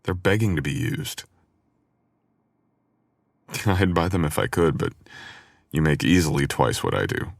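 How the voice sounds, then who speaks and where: Very deep-voiced, teasing boyfriend played by a man. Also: very animated, measured pace, creaky, pauses often, dry recording, studio quality, heavily acted. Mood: playful, teasing. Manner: playful, teasing, intimate. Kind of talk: boyfriend ASMR roleplay audio acting.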